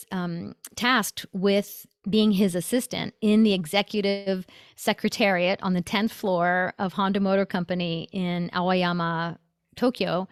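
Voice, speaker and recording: A middle-aged woman, a consultant and author, tells her story as an interview guest. She is animated and laughs easily. Her voice is recorded close and dry, with almost no room sound. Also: mid-range voice, clear, resonant tone, no room sound.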